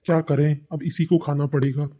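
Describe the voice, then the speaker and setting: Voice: medium pitch, steady tone, a little fast, muffled recording, close to an everyday voice. A performer voicing a mild, resigned older father-in-law, a village man in a cartoon comedy. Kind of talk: Hindi cartoon family comedy dubbing.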